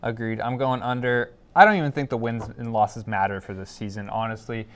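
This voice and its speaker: A man's voice: medium pitch, fairly quick. A man giving opinions as a panelist in a casual sports discussion, often repeating words as he goes.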